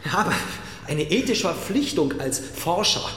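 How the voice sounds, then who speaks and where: A bitter younger brother confessing in a radio play. His fairly high voice moves restlessly, breaking into halting, defensive phrases. The room rings around him with some light noise. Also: big pitch swings, fairly bright tone, echoey room. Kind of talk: radio drama acting.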